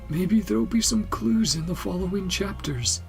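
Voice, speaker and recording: A woman's voice: medium pitch, moderate pace, vocal fry, a little mellow, faint music behind. A woman streamer giving casual game commentary and reading subtitles aloud in murmured, fragmentary asides.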